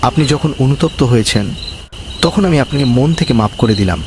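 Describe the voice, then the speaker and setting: A man acts a simple, honest, poor villager in a moral cartoon. His mid-pitched voice is polite and earnest. Noise and chirping insects are clear behind him. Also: lively intonation, fairly quick, lightly acted. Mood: earnest, forgiving. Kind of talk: earnest cartoon story acting.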